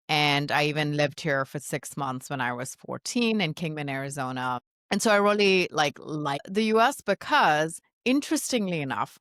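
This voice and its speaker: A woman from India telling her story casually as a podcast guest, in a chatty, animated manner. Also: low voice, no echo, clean studio sound. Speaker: podcast guest, consultancy founder.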